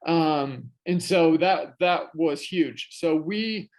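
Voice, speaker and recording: This is a man with a fairly high speaking voice, talking as a guest on an investing podcast. He tells his story in a loose, chatty way, full of "um"s and "you know"s and restarting his sentences. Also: takes it slowly, a little mellow. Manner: chatty, many fillers and restarts. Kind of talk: casual conversational storytelling.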